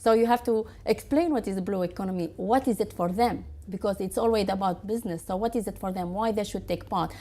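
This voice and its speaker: A woman from Tunisia answering interview questions in quick, halting full of restarts and fillers. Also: mid-range voice, mellow. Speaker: guest.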